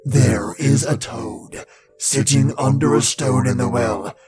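A man voicing a gruff, irritable devil in a storybook reading, grumbling with emphatic delivery. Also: medium pitch, slow, darkish tone. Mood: scornful, knowing. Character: gruff, suspicious, irritable devil, easily angered.

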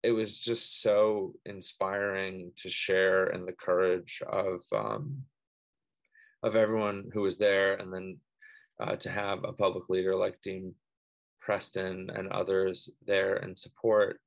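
A man's voice: low-pitched, slow, vocal fry, poor audio. A man who stutters, speaking casually on a panel and reflecting, with repeated sounds and frequent fillers like "like" and "um". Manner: person who stutters, with part-word repeats and many fillers.

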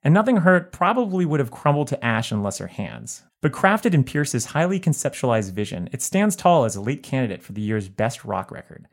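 A man narrating a written album review in a measured, articulate way. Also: mid-range voice, sing-song. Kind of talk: scripted narration.

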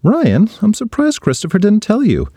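A man doing a sweet, gentle schoolteacher lady. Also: high voice, huge pitch swings, normal speed, slightly rough, no room sound, clean studio sound, pitched above the performer's own voice, exaggerated voice. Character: kind patient female schoolteacher.

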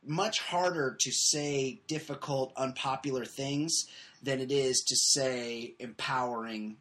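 A man hosting a casual comedy podcast, riffing with opinionated, quick takes. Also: medium pitch, steady tone, a bit bright. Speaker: podcast host.

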